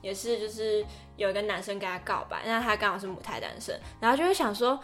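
A woman's voice: mid-range voice, fast, fairly bright tone, faint music behind. A young woman co-hosting a podcast in a casual, chatty style. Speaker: podcast co-host.

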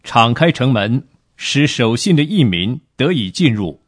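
A man's voice: mid-range voice, big pitch swings, unhurried, slightly rough. A majestic heavenly king in a radio drama. He issues his commands with authority, and his regal delivery swings expressively up and down. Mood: regal, commanding.

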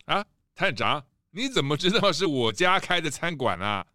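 Bright, high-pitched jovial panda principal. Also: male voice, big pitch swings, moderate pace, no echo, studio quality. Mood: jovial, excited. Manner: effusive, exclamatory. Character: jovial, hospitable male panda school principal. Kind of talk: children's audio drama acting.

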